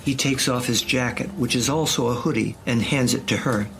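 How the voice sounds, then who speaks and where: A man's voice: medium pitch, normal speed, a bit bright, slight background noise, poor audio. A man narrating an audio drama from a script, describing the action in the present tense.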